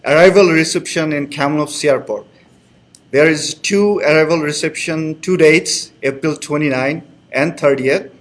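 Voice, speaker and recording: A man's voice: a little high, measured pace. Male student advisor. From Bangladesh. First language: Bengali. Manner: friendly, repeats reassurances, loose grammar. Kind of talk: live online information session.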